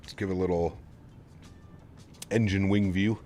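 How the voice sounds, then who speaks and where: A man's voice: sits low, fairly even intonation, unhurried, mellow. An easygoing, chummy man chatting casually with viewers as a flight sim streamer. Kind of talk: casual livestream chat with viewers. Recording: music in the background. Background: background music.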